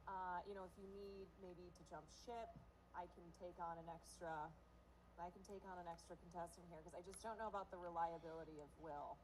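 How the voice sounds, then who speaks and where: A woman in a streamed clip, speaking casually and with some hesitation. Her voice sits low for a woman, and she sounds set back from the mic. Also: fairly even intonation, fairly quick, low-quality recording.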